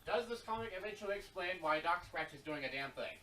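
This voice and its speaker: A man with a fairly high, bright voice chats casually alongside the host. He is relaxed and keeps chiming in with bits of trivia and side questions. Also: fast, poor audio. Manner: relaxed, chatty, piping up with trivia. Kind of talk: casual chat.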